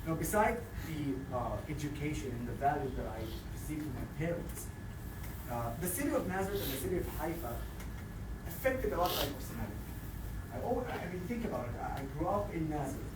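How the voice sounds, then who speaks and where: A man in his thirties from Israel, a native Arabic speaker, giving a lively, joking lecture to a live audience. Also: fairly high pitch, a little fast. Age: 30s. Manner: animated, joking, addresses crowd directly. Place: large hall with audience. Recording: echoey hall, distant mic, audience noise.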